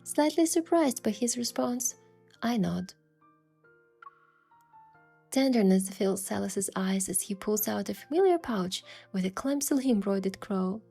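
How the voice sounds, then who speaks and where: A woman playing a sincere young heroine, narrating her romance story in a soft, measured and expressive way. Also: mid-range voice, creaky, slightly rough, exaggerated voice. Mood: reflective narration. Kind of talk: scripted first-person story narration and dialogue. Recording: music behind the voice.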